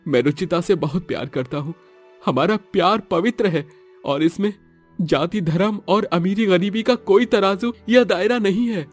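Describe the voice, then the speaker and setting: A man's voice: high-pitched, moderate pace, soft background music. A man voicing an earnest, lovestruck young son of a village chief, emotional and pleading, in a moral-story cartoon. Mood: earnest protest.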